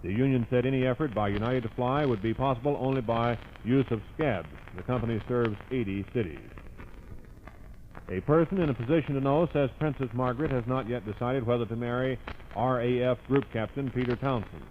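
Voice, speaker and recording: A man with an American accent delivers a scripted radio news bulletin in 1950s broadcast style. His voice sits at an ordinary male pitch. The old recording is muffled and carries faint background noise. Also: fairly quick. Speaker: radio newscaster.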